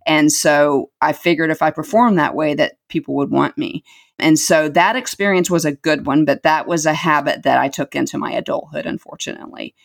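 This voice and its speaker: A woman's voice: low-pitched, fairly quick, gravelly. A middle-aged woman telling her life story as an interview guest, earnest and reflective, repeating words for emphasis.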